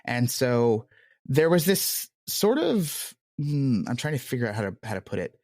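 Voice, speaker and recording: A man's voice: mid-range voice, sing-song, unhurried, darkish tone, no room sound, studio quality. A man speaking as a podcast guest in a reflective interview, telling anecdotes with hedges and quoted asides. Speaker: podcast guest, audio drama creator.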